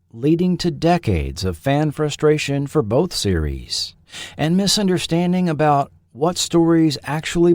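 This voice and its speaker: Animated man narrating a documentary, close and dry. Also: mid-range voice, no echo. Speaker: podcast host. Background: faint background music.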